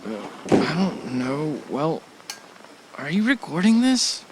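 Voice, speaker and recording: A man's voice: a little high, very animated, takes it slowly. A man playing an easygoing, affectionate husband on vacation in an audio drama, speaking casually with a joking manner. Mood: concerned. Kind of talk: audio drama acting, conversational. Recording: faint background noise.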